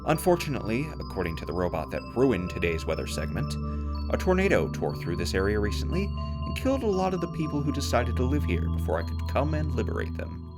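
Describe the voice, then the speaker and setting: A man's voice: mid-range voice, very animated, fast, over loud music, close to an everyday voice. A man playing a pompous, bossy, self-proclaimed emperor hosting a scripted post-apocalyptic radio comedy with grandiose, exasperated commands. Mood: pompous, self-important. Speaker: radio host.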